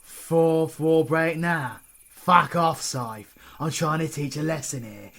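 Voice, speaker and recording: A man's voice: fairly high pitch, slow pace, a bit bright. A British man playing a cocky, sarcastic, menacing supervillain in an audio roleplay. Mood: gleeful, goading. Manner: sarcastic, theatrical, foul-mouthed British slang. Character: cocky, taunting supervillain, flippant yet menacing killer. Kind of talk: ASMR audio roleplay acting.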